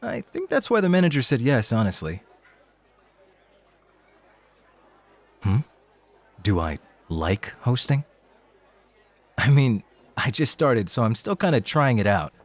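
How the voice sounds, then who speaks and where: A man's voice: mid-range voice, sing-song, normal speed, some chatter behind. A man acting in an ASMR roleplay as a laid-back rookie club host, casual, hesitant and a little awkward. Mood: nervous, modest.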